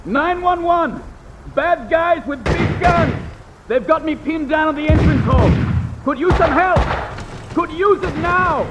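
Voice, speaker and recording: An actor playing a flamboyant club owner under fire, shouting theatrically in an action game. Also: male voice, extremely high pitch, unhurried, heavy background noise. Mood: urgent.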